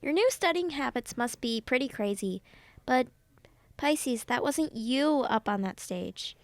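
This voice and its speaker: An actress plays a caring young female college friend, speaking gently and sensibly in a slightly high voice. The sound is dry and close, like a conversational audio drama. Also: expressive, a little slow, no room sound. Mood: reassuring, concerned. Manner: gentle, reassuring.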